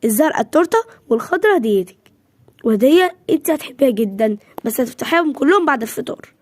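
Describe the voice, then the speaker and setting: An easygoing middle-aged father with an Egyptian accent, relaxed and a bit proud, played by a woman. He talks quickly in a fairly high voice. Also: no echo. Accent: Egyptian Arabic.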